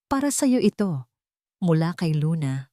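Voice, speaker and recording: A woman voicing an earnest, anxious young nurse in an audio story, polite and hushed, with a Filipino accent. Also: lowish voice, sing-song, unhurried, no echo, studio quality. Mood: hushed, tender.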